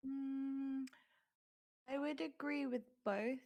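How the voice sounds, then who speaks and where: A woman's voice: sits high, fairly even intonation, slow pace, a little mellow. Earnest woman co-hosting a chat podcast. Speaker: podcast co-host. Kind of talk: casual panel chat.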